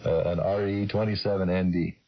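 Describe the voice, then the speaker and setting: A man with a deep, bassy voice chats casually over ham radio. The sound is muffled and dull, with clear chatter of other voices behind him. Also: little pitch movement, brisk. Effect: ham radio transmission. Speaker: amateur radio operator.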